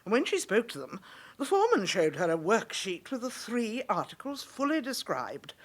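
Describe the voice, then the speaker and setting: An actress playing an indignant, haughty English care home matron in a radio drama, speaking formally and precisely. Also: female voice, on the high side, very animated, normal speed. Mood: formal, put out. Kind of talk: British radio drama acting.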